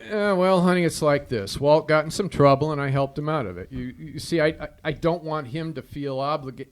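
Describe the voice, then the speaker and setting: An actor playing a flirtatious, wisecracking singing private detective in a 1940s style radio drama, theatrical and playful on stage. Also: male voice, a little high, brisk, lightly acted. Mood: flustered explaining. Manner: playful banter, theatrical, bickering. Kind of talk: 1940s radio drama acting with crooned song. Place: live stage performance in a theater hall.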